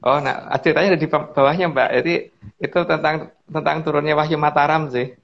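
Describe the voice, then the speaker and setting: A man's voice: a little high, steady tone, brisk, gravelly, lo-fi sound. A man guest speaker explaining old lore in a casual, rambling way, full of fillers and restarts, politely addressing the host over an online call.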